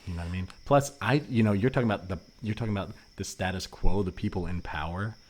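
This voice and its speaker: Low-voiced, rambling conspiracy guy, played by a man. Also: darkish tone. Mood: defensive, explaining. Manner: halting, rambling, earnest explaining. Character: rambling male conspiracy-minded former anarchist, earnest and suspicious. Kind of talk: improvised comedy podcast dialogue.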